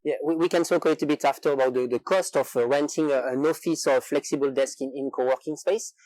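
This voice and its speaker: A man with a French accent hosting a casual interview in a coworking space, speaking with many fillers and pauses. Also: a little high, fairly even intonation, a little mellow, no room sound, low-quality recording. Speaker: host.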